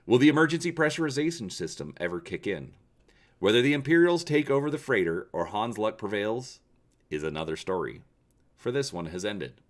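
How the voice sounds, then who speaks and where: Male presenter-narrator, low register, highly varied intonation, emphatic storytime delivery. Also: big pitch swings, a little fast. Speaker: channel host and story reader.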